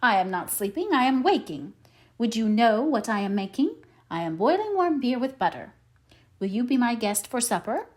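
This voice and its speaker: Storybook maid cat, female reader: courteous, singsong, very animated pitch. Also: normal speed. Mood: inviting. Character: polite, singsong maid cat serving a widow fox. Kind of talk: storybook read-aloud character voice.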